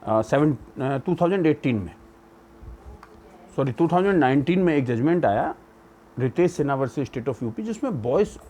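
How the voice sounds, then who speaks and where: A man's voice: mid-range voice, lively intonation, normal speed, mellow, slight background noise. A man giving an informal legal lecture, explaining step by step and often checking that he is followed. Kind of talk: informal legal lecture with English terms mixed in.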